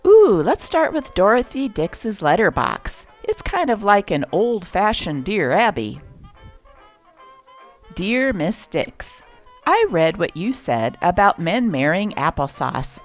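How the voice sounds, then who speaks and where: A woman hosting a show that reads aloud from old newspapers, with an expressive, varied delivery. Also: takes it slowly, soft background music. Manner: expressive reading with lively pitch. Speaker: channel host reading old newspapers.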